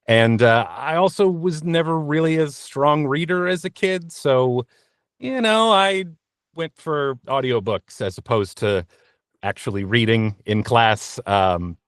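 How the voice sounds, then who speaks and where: A man giving casual, conversational interview answers as a voice actor guest, often halting and correcting himself as he tells his story. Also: mid-range voice, dry recording, studio quality.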